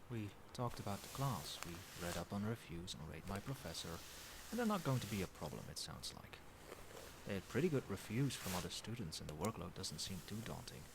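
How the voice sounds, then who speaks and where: A man playing a doting yet controlling, quietly menacing boyfriend in a soft-spoken ASMR roleplay, coaxing tenderly with pet names. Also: deep voice, creaky, exaggerated voice. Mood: attentive. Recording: steady background noise, night ambience. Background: rain and cricket sounds.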